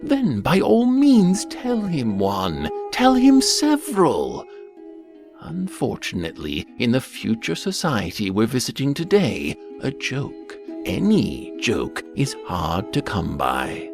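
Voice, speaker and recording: A man playing the storyteller host of an eerie anthology series, narrating in a dramatic, deliberate, arch manner. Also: medium pitch, wildly swooping pitch, exaggerated voice. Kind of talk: theatrical scripted anthology narration. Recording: voice under loud music.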